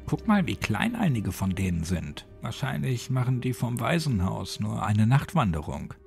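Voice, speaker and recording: A man's voice: mid-range voice, sing-song, moderate pace, gravelly, darkish tone, soft background music. A man voicing a blunt, slangy young village man in an audio horror story. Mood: skeptical, dismissive. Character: skeptical young village man, dismissive, turning panicked.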